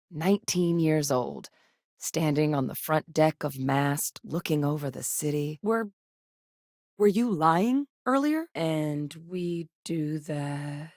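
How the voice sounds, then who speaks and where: A low, steady military officer-scientist. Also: female voice, dry recording, studio quality. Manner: measured, steady and businesslike. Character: composed adult woman, a military officer and scientist. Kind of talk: video game voice lines. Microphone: studio voice-over.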